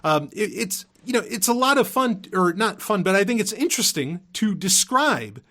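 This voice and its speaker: Rambling, higher-voiced man podcasting. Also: very animated, moderate pace, sharp tone. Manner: rambling, emphatic, repeating himself, casually profane. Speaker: podcast host. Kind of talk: casual unscripted monologue.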